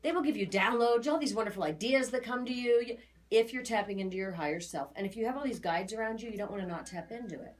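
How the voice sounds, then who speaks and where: A woman's voice: on the high side, fast talker. A woman giving a conversational spiritual reading as an aura reader, talking in a chatty, emphatic, assured way.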